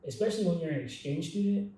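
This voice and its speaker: Mellow young man, distant echoey mic. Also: sits high, animated, quick pace. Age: young adult. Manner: rambling, frequent restarts and "like" fillers. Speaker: podcast co-host. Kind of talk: casual chat.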